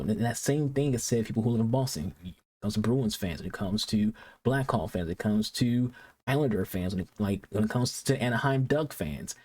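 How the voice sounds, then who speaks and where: Fast-talking husky low-voiced man. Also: darkish tone. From Detroit, United States. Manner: rambling, with frequent restarts and repeated words. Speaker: reaction video host. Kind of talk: casual reaction commentary.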